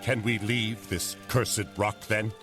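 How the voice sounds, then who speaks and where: A man's voice: lowish voice, fairly even intonation, slow pace, over music. A man playing a wry, irreverent Chinese sailor and loyal sidekick in a video game cutscene, with a dry, sardonic delivery. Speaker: game character, captain's companion.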